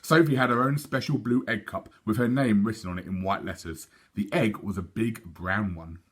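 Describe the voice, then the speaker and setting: A man reading a children's storybook aloud in an expressive narrating style. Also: on the low side, normal speed, slightly rough.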